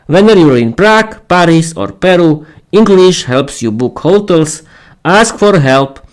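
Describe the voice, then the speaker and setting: Male podcast host and teacher, slightly high voice, slow delivery, halting read-aloud with stumbles and off-script asides. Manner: halting reading with stumbles and repeated words. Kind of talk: reading a written text aloud on a livestream with casual asides.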